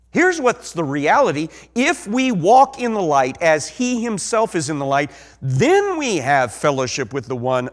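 Ozark-accented male preacher, high lively voice, slow deliberate delivery, sermon with big dynamic swings. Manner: animated, swings from soft to loud emphasis.

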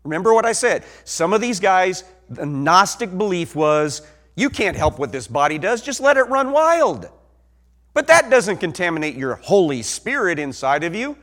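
A man preaching a sermon in an Ozark accent, swinging from soft asides to loud emphatic peaks. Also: sits high, sing-song, normal speed.

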